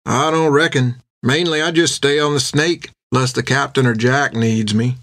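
A man's voice: mid-range voice, steady tone, moderate pace, fairly bright tone, no echo, studio quality, close to an everyday voice. Young shipmate for audiobook, male narrator acting: simple, reluctant, folksy, understated.

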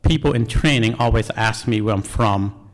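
A man's voice: fairly low pitch, normal speed. Man with a Cambodian accent telling his story. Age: 40s. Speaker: National Guard member telling life story. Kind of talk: prepared personal speech.

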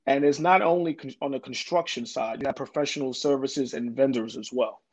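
A man's voice: medium pitch, a little fast. A man, a guest in an interview, answering earnestly with many fillers and restarts. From Jamaica, Queens, New York. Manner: earnest, lots of ums and restarts. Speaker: development corporation president, guest. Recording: dull lo-fi audio.

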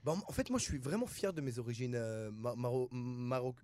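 A man from France, a studio guest in a casual discussion, stumbling over words and getting lively when quoting others. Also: mid-range voice, a little fast.

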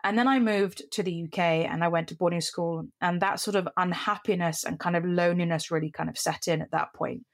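A woman who grew up in Qatar and Cyprus, speaking as an interview guest. She tells her story in a measured, reflective way, often softening it with "sort of" and "kind of.". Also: on the low side, steady tone. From Middle East, Qatar and Cyprus. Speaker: guest, mentoring company CEO.

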